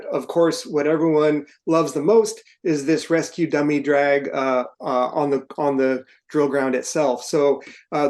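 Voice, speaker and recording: Higher-voiced man explaining step by step. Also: darkish tone. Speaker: podcast co-host, firefighter and trainer. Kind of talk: informal instructional explanation.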